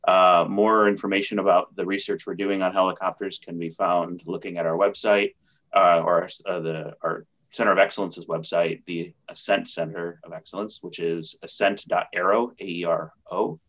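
A man's voice: low voice, steady tone, normal speed, a bit bright. A man, an agency expert, giving formal panel answers over a slightly dull video-call connection. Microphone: computer video-call microphone.

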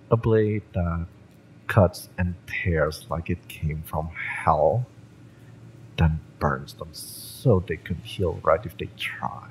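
Man acting a low-voiced, taunting young mercenary. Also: slight background noise, heavily acted, poor audio. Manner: taunting, smug, drawn-out menace with swearing. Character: cocky, fiery young male cyberpunk mercenary, taunting and menacing. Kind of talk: dramatic audio roleplay, intimate villain-romance acting.

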